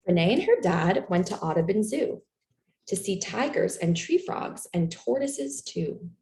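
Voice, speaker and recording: A woman reading a children's picture book aloud in an expressive, animated storytelling manner for young listeners. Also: medium pitch, unhurried, a little hiss or hum. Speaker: storytime reader. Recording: roomy, echoey room sound.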